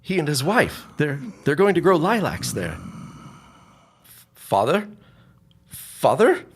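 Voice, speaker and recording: An actor playing an anxious, genteel grown son in a period audio drama, speaking formally with a husky voice. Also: male voice, on the high side, sing-song, slight background noise, full character voice. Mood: hesitant, apologetic. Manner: formal, old-fashioned, halting under pressure. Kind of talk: period radio drama acting.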